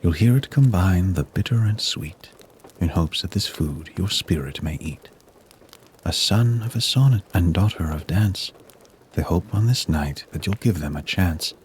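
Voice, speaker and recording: A man storyteller reading a rhyming Christmas poem in a solemn, measured way. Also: low voice, expressive, a little mellow, slight background noise. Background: music.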